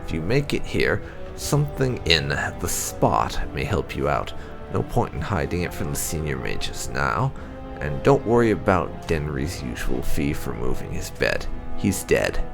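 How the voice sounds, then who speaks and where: Male game channel host, low gravelly voice, theatrical lore reading with music underneath.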